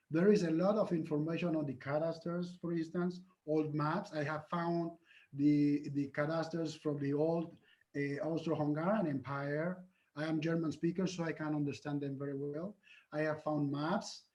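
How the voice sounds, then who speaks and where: A man giving an academic conference lecture and answering questions, earnest and halting, with many fillers and restarts. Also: on the high side. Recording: muffled lo-fi lecture recording.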